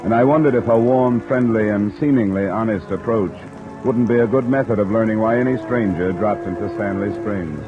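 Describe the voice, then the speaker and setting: Male actor as hardboiled American radio sleuth, deep and understated, dryly wry, on dull old broadcast audio with rainfall. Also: a little fast, over loud music, lightly acted. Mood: reflective narrating. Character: cool, wry freelance insurance investigator, a man. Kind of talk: 1950s American radio detective acting and first-person narration. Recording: muffled vintage radio recording, rain behind.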